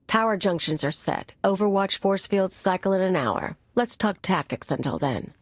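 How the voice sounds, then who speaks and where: A woman's voice: medium pitch, expressive, normal speed, no echo, natural voice. An actress playing a brash, cynical female anarchist bomber in a video game cutscene, talking in a clipped, tough, sardonic way. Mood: cocky, brisk.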